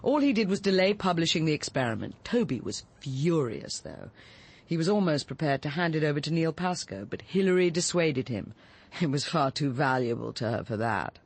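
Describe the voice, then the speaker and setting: A woman's voice: low-pitched, very animated, moderate pace, slightly rough, full character voice. An actress playing a cool, resolute middle-aged woman confessing to murder, with an English accent and an articulate, unsentimental delivery in radio drama style. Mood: intense, confessional. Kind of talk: BBC radio drama acting.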